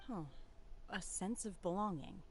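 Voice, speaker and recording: A woman voicing a reserved, aloof young woman in a video game, speaking softly and hesitantly. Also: mid-range voice, a little slow, slight background noise, lightly acted. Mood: quiet. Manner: quiet, hesitant, soft-spoken. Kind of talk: game voice acting.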